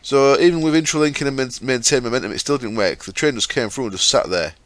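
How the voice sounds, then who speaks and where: A man hosting a gaming channel, explaining his setup step by step in a chatty way with fillers, his throat sore and croaky. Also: medium pitch, quick pace, dry recording. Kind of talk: casual game commentary.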